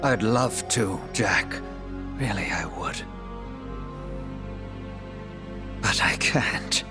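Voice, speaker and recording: A man playing an ancient, sorrowful dark elf king in a video game, sly and bitter, with a theatrical, teasing, measured delivery. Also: deep voice, animated, over loud music. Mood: regretful, bitter. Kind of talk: video game cutscene acting.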